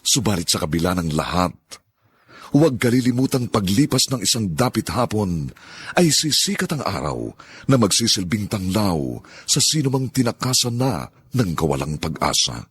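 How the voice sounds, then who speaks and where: Man narrating a radio drama opening, lofty and sweeping, lively pitch, slight hiss. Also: moderate pace. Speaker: radio drama program announcer.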